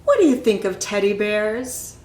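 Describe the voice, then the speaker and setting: A woman's voice: medium pitch, animated, moderate pace, a little mellow, a little hiss or hum, lightly acted. A woman voicing an anxious, defensive young boy in a storybook, in a room with echo. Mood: worried. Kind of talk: storybook character reading. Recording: roomy, echoing room sound.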